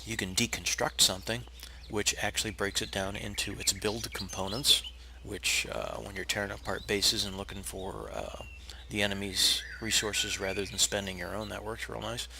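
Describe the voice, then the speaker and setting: A man with a low, raspy voice, hoarse because he is unwell, explains a game build at an easy pace, stopping to correct himself now and then. The home recording carries a steady hiss, and birds chirp faintly in the background. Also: moderate pace, few pauses. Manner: relaxed, explanatory, with frequent uh fillers and restarts. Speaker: game tutorial narrator. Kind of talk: casual step-by-step gameplay tutorial.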